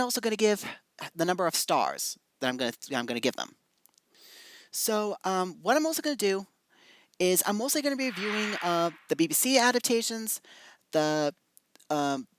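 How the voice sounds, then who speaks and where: Informal reviewer, mid-pitched and very animated, halting but emphatic delivery, recorded dry. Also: dry recording. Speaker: video reviewer. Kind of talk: informal opinionated review, speaking to viewers.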